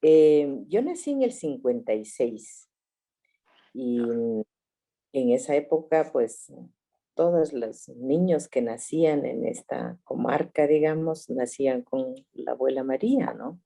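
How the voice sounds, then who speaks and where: Low, gravelly woman in her sixties. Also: lively intonation, takes it slowly. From Ecuador. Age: 60s. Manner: reflective storytelling, soft laughs. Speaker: family doctor. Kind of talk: interview over video call. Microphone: video call.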